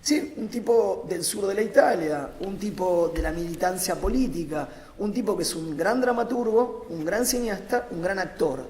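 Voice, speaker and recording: Echoey hall, long reverb, man, academic panel talk, emphatic deliberate phrasing. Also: high voice, a little mellow, a little hiss or hum. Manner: emphatic, deliberate phrasing in short bursts with pauses. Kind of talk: improvised philosophical lecture at a panel. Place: large reverberant conference room.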